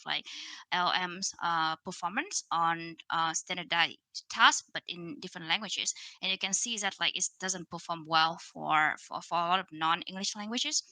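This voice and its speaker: A woman's voice: on the low side, bright, forceful tone, no room sound, poor audio. A woman from Vietnam in her thirties, speaking English with a Vietnamese accent, giving a casual technical conference talk with many fillers and restarts over a video call.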